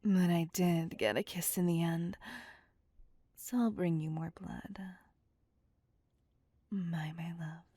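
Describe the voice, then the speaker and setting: A woman's voice: on the low side, fairly even intonation, slow pace, pauses often, mellow, no echo. A woman voicing a possessive, dominant vampire seductress in an intimate ASMR roleplay, teasing and commanding as she drifts into whispers. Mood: smug, whispering. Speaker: voice actor.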